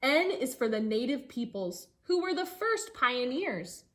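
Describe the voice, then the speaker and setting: A woman teacher reading a rhyming picture book aloud to children in a singsong way, pausing with short asides to engage her listeners. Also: sits high, very animated, fairly bright tone, a little hiss or hum.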